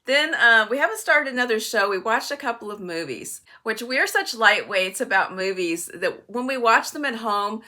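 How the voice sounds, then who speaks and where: A woman's voice: fairly high pitch, animated, normal speed, hardly any pauses, bright, forceful tone. An older woman chatting casually in a book vlog, conversational and wry, with some self-deprecating humour. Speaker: book vlogger and co-host.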